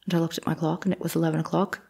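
A woman's voice: low voice, flat delivery, very fast, creaky, warm tone, husky, full character voice. A woman playing a plain-spoken nineteenth-century housemaid, testifying respectfully in a courtroom audio drama. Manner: plain, deferential. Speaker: actor.

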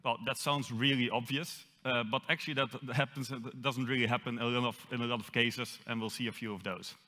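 A man's voice: medium pitch, fairly even intonation, brisk, bright, forceful tone. A young man from the Netherlands with a Dutch accent, giving a conference talk on stage with light self-deprecating humor. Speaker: conference speaker. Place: large auditorium stage. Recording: reverberant hall.

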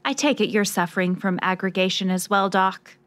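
A woman's voice: mid-range voice, fairly quick, a bit bright, natural voice. A woman playing a plucky, skeptical investigative reporter in a scripted noir radio drama, wry and questioning.

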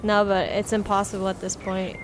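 A woman's voice: medium pitch, level tone, fairly quick, a little hiss or hum. A young woman chatting casually with her teammates as she plays a video game. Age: young adult. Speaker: video creator playing with teammates. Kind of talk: casual gaming chat.

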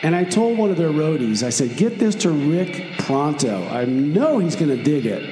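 A man's voice: on the high side, big pitch swings, moderate pace. A man playing a cocky, chatty, upbeat time traveler in a comedy radio play. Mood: excited, breezy. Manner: upbeat, self-assured, chatty. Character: breezy, cocky male time traveler and would-be musician. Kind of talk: comedy radio theater monologue. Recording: echoey, long reverb. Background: music.

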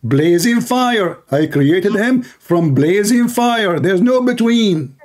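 A man's voice: sits high, animated, slow pace, a little mellow. A man hosting an online debate, combative and mocking, repeating his demands. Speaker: debate host.

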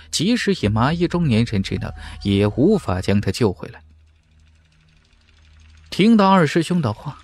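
Animated male audiobook narrator. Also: medium pitch. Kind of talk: dramatic scripted fantasy narration. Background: background music.

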